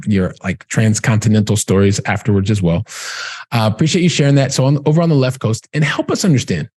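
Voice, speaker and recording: A man's voice: lowish voice, darkish tone, breathy edge. A man hosting an interview podcast, upbeat, often repeating words and restarting sentences. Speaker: podcast host.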